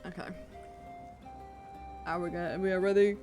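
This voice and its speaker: A woman's voice: lowish voice, fairly even intonation, unhurried, darkish tone, soft background music. A woman game streamer giving casual, off-the-cuff livestream commentary.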